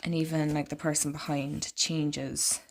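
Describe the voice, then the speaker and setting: Woman vlogger, lowish voice, congested and croaky, loose rambling delivery. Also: little pitch movement, a little slow. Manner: chatty and rambling, lots of "like". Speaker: oracle card reader and vlogger. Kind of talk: casual deck walkthrough.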